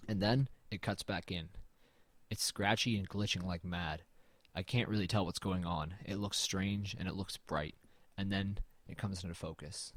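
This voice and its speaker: A man acts as a brooding male narrator of a first-person horror audio drama. His voice sits low, in a very dry recording. He tells it in a measured, uneasy way, as someone exploring a dead man's house. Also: dry recording. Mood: tense.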